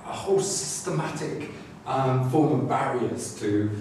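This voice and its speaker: A middle-aged man giving an academic lecture, talking in a halting way with frequent restarts and fillers. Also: medium pitch, darkish tone, slight background noise. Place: classroom with a screen, indoors. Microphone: far camera. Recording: echoey room, far from the camera mic.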